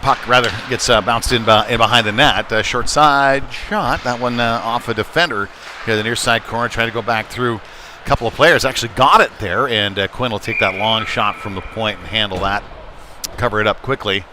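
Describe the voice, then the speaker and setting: A man doing live play-by-play commentary of an ice hockey game, often pausing mid-phrase. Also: medium pitch. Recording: clearly noisy sports venue background.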